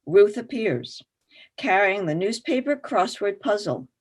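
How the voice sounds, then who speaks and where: Woman narrating a play with lively intonation. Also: medium pitch, a little slow, slightly rough. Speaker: stage-directions narrator.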